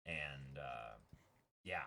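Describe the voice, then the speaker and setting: A man's voice: rumbling low, extremely slow, vocal fry, sharp tone. A man co-hosting a stream in casual chat, speaking agreeably and often repeating short affirmations.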